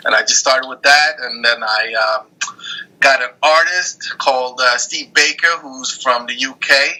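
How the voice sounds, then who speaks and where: A man raised in Norway, a comic creator, talking earnestly as an interview guest over a call. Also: mid-range voice. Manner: earnest, steady, a bit halting. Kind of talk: casual interview. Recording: tinny phone-like line.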